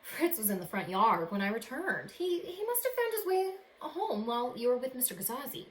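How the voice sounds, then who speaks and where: A woman voicing a kindly female neighbour in a storybook, speaking in a gentle, emphatic way. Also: on the high side, sing-song, normal speed, voice pushed up. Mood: gently amused. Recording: echoey room with background noise.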